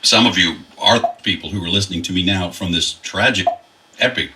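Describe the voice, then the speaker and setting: A man's voice: low voice, fairly quick, bright, forceful tone. An American man working as a radio DJ, reading scripted announcements in a public radio style. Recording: radio broadcast heard from far off.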